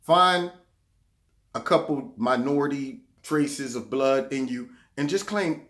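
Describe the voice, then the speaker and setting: A man's voice: medium pitch, slow pace, a bit bright. A man hosting his own political commentary vlog, talking sarcastically and repeating words when he gets heated. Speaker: vlog host.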